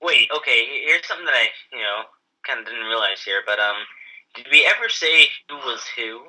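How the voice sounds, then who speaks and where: A man's voice: mid-range voice, animated, fairly quick, bright, forceful tone, low-quality recording. A man with a smoky voice, chatting hesitantly and self-mockingly with friends. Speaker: podcast co-host. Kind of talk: casual chat with friends.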